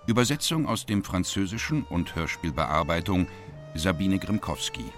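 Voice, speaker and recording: A man's voice: low voice, big pitch swings, moderate pace, music in the background. A man reading titles and credits as a scripted radio announcement.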